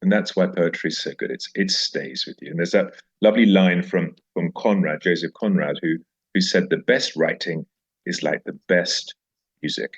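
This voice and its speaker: Deep-voiced, clear-spoken man in literary conversation. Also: normal speed, muffled recording. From South Africa. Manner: emphatic, with repeated phrases and rhetorical questions. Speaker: podcast guest discussing a poem. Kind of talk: animated conversational literary discussion.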